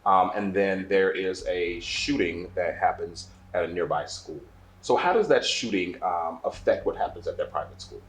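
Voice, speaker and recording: A man with a low voice hosts a magazine interview. He speaks conversationally as he puts questions to his guests. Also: normal speed, a little hiss or hum, rough recording.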